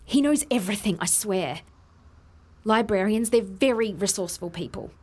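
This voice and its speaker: An Australian actress playing a guilty, defensive inventor who earnestly explains herself in an audio drama. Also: female voice, mid-range voice, animated, normal speed, darkish tone, husky. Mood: earnest, explaining.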